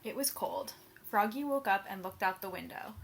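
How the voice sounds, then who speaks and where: A woman reading a children's picture book aloud as an expressive storyteller, with playful, emphatic sound words. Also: mid-range voice, moderate pace, gravelly, noisy background.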